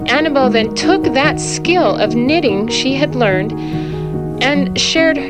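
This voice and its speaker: Slow-paced woman reading to children over music. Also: fairly high pitch. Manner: clear, simple phrasing addressed to young children. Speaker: librarian reading stories aloud. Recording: loud music behind the voice.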